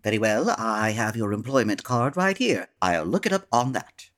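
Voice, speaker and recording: Anxious store manager, played by a man: stammering, hesitant, pitch jumping about, flustered radio-comedy delivery. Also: expressive, normal speed, a bit bright. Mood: nervous, hesitant. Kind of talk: comedic radio play acting.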